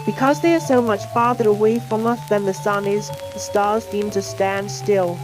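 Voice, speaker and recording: A woman's voice: medium pitch, fairly even intonation, normal speed, low-quality recording. Synthetic female science film narrator over music. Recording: music playing under the voice.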